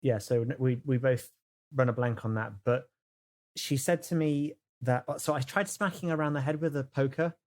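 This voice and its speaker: A woman playing a tabletop role-playing game, improvising casual in-character chat with halting, questioning delivery. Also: a bass voice, steady tone, darkish tone.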